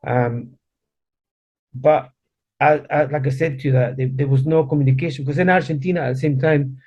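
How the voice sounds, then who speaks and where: A middle-aged man from Chile, a native Spanish speaker, tells his story conversationally in an interview, haltingly, circling back to restate phrases. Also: a little high, steady tone, darkish tone, slightly rough, muffled recording. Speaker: interview guest.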